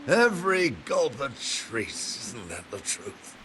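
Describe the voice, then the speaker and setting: An actor playing a grumbling, opinionated older monk in a medieval video game, blunt and theatrical. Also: male voice, mid-range voice, very animated, takes it slowly, background noise. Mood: tipsy relish. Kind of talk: video game cutscene acting.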